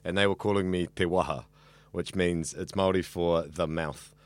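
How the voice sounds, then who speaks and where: A man in his thirties with a deep voice and a New Zealand accent, chatting casually and talkatively in an interview. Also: steady tone, normal speed, no echo. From Auckland, New Zealand. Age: 30s. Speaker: radio student being interviewed.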